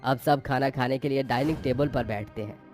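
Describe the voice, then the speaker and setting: A man's voice: medium pitch, brisk, a little mellow. Male storyteller over faint music. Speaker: story narrator. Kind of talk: scripted storytelling narration for cartoon moral tales.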